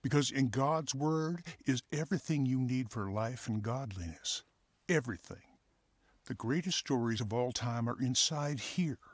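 A man's voice: medium pitch, animated, moderate pace, no echo, lightly acted. Guy playing a fatherly counselor, earnest, preachy, dry close sound. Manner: earnest, teaching. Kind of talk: radio drama acting.

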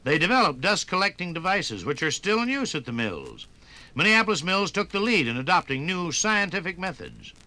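A man narrating a scripted 1950s radio documentary in an emphatic, measured and dramatic announcer's style, heard on an old broadcast record. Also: high voice, big pitch swings, pushed and bright.

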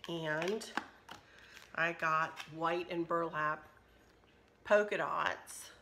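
A middle-aged woman, chatting casually through a craft shopping haul at home, her voice a little hoarse. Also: low voice, fairly even intonation, extremely slow, bright, forceful tone. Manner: chatty, friendly, rambling. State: slightly hoarse, losing her voice. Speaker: craft vlogger. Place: kitchen at home, indoors.

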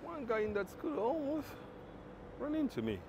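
A man's voice: very high-pitched, wildly swooping pitch, normal speed. A man from Congo, chatting easygoing to camera on a smartphone while walking outdoors along a waterfront at night. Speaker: travel vlogger. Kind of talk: casual vlog talk to camera. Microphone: smartphone on a handheld gimbal. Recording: street traffic noise behind.

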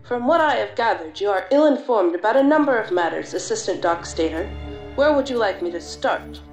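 A woman's voice: mid-range voice, expressive, brisk, faint music behind, close to an everyday voice, low-quality recording. A woman playing a stern, critical deputy director of a federal agency in an audio drama, formal and authoritative. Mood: cutting.

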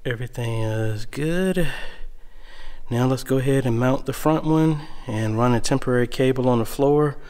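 A man's voice: medium pitch, normal speed, smooth. A man in his thirties explaining a camera install in a church sanctuary while he works, talking through each step, recorded on a phone held at arm's length. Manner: relaxed, explanatory, thinking aloud while working. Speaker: church video system installer. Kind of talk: casual how-to vlog. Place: church sanctuary, large room, indoors. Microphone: handheld phone camera, arm's length.

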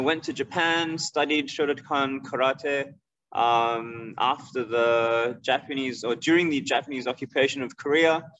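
A middle-aged man giving an informal online lecture as an instructor, explaining in a halting way and often checking in with the listener. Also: mid-range voice, a bit bright. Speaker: martial arts instructor and school owner. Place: indoor room, on a video call. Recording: dull, lo-fi video call audio.

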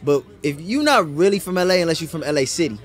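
A man's voice: fairly high pitch, animated. A man in his thirties from Los Angeles, a guest actor reflecting on growing up in a casual podcast chat. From South Central Los Angeles, USA. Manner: reflective, quick-talking. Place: indoor studio set. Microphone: boom mic.

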